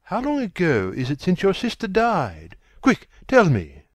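A man voicing a refined, youthful gentleman in an audiobook, exclamatory, urgent and imperious. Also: high voice, huge pitch swings, a little slow, no echo, voice pushed up, heavily acted. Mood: urgent, triumphant.

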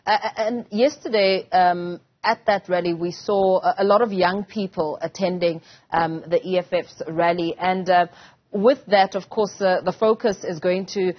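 A young woman, a news anchor asking long questions in a studio interview, pausing often on fillers. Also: on the low side, fairly even intonation, lo-fi sound. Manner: hesitant, filler-heavy questioning.

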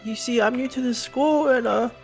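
Woman doing a shy goofy demon boy, hesitant, fairly high voice, music loud behind. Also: normal speed, natural voice. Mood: friendly. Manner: hesitant, giggly.

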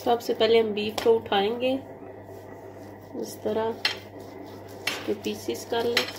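Woman host, even and steady recipe walkthrough, recorded on a camera mic with kitchen noise behind. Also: a little high. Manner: plain, measured listing of ingredients. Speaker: cooking channel host. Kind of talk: step-by-step recipe instruction. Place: home kitchen. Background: frying and stirring sounds.

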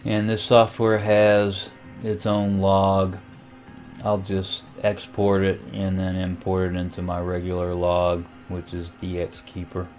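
A man giving a casual, unhurried screen tutorial, thinking aloud as he goes. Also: low voice, monotone, a little mellow, faint music behind. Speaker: amateur radio tutorial host. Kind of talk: casual screen-recorded software walkthrough.

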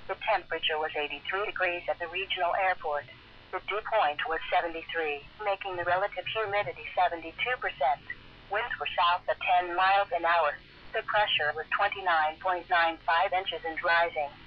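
A synthetic-sounding man reads scripted weather conditions over the radio with measured, impersonal delivery. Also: high-pitched. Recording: dull weather radio capture.

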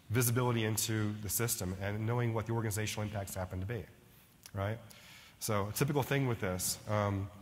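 A man's voice: lowish voice, in a large hall. A middle-aged man giving a conversational conference keynote in a large ballroom, often checking in with "right?". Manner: conversational, frequent "right?" and "like" fillers. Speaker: keynote speaker.

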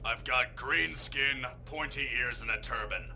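A man's voice: mid-range voice, gravelly, bright, forceful tone, a little hiss or hum, full character voice. A man voicing a lonely, grumpy, sarcastic green-skinned alien warrior in a comedic anime parody. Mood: sarcastic, irritated. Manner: sarcastic, self-pitying, deliberate.

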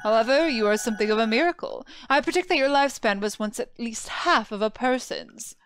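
A woman's voice: on the high side, a little fast, slight background noise, lightly acted. A woman playing a proud, obsessive dead homunculus researcher in a video game, with a formal, theatrical, lecturing manner. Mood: cool, lecturing. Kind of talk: scripted video game voice acting. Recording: music behind the voice.

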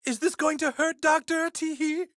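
A man playing a flustered man posing as a coy woman patient, nervous and exaggerated, in an animated comedy game. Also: very high voice, normal speed, dry recording, studio quality.

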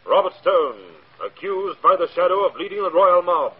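Menacing, ominous avenger on muffled radio. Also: male voice, high voice, lively intonation, breathy edge. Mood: commanding, ominous. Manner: theatrical, measured, ominous. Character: menacing invisible male avenger, taunting and commanding. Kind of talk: 1940s radio acting. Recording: muffled old 1940s radio recording.

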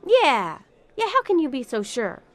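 A woman's voice: sits high, wildly swooping pitch, unhurried, exaggerated voice. An actress playing a dim club woman in a comic radio drama, drawling and parroting what others say. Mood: puzzled. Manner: drawling, echoing others' words. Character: dim, slow-witted club woman who parrots her companion.

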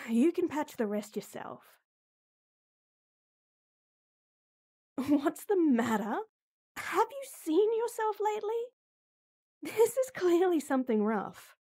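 A woman playing a gruff but caring tomboy best friend in an intimate audio roleplay, blunt and exasperated, with frequent sighs. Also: high voice, warm tone, full character voice. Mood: indignant, alarmed.

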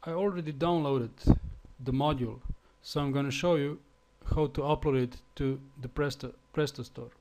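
A man from Slovenia with a Slovenian accent, speaking English as a second language in a halting screencast tutorial with fillers and restarts. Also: mid-range voice, steady tone, a little mellow, a little hiss or hum. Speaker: web developer.

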